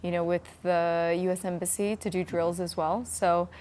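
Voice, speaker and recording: A woman's voice: lowish voice, flat delivery, normal speed, a little mellow. A woman answering interview questions as a company founder, in an informal, explanatory conversational manner. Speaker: startup founder being interviewed.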